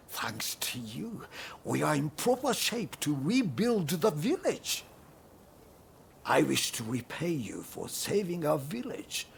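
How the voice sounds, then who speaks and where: An actor playing a humble, grateful village man in a video game, speaking in an earnest, deferential and measured way. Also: a little high, creaky, slight background noise, full character voice. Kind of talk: video game cutscene acting.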